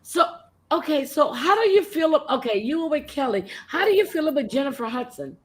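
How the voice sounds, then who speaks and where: Gushy lady host, high voice that jumps all over the place. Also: a little fast. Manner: excitable, gushing, exclamatory. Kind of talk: live comedic recap chat.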